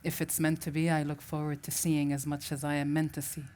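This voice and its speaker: Low-pitched woman from syria, arabic first language, telling a story to a crowd, pauses and restarts a lot. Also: little pitch movement, a little mellow, a little hiss or hum. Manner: reflective, searching for words with restarts. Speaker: festival speaker. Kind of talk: personal storytelling talk.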